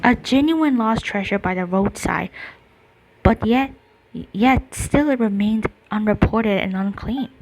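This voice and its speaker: A woman game streamer reading dialogue aloud during gameplay, halting and correcting herself as she goes. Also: medium pitch, rough recording.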